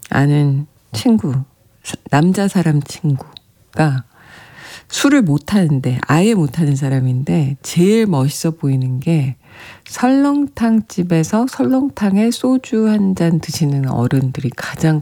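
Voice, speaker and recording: Brief: radio host with a warm, dark tone and a soft, breathy edge. Reads listener notes gently and chats. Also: mid-range voice. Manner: gentle, chatty, often laughing softly. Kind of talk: radio show, reading listener messages.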